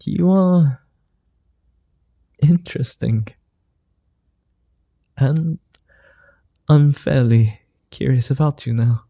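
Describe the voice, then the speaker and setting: A courteous vampire gentleman, as a man plays him in an audio roleplay, speaks at an unhurried crawl with a deep, mellow warmth. His phrasing is formal and old-fashioned, broken by soft chuckles. The sound is close and dry, with no room around it. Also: no room sound. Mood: amused. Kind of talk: ASMR roleplay.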